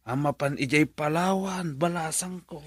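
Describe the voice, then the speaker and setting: Husky Filipino father acted by a man. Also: on the high side, moderate pace, dry recording, studio quality, natural voice. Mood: tender, resigned. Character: tender, careworn father of a grown daughter. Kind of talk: radio drama acting.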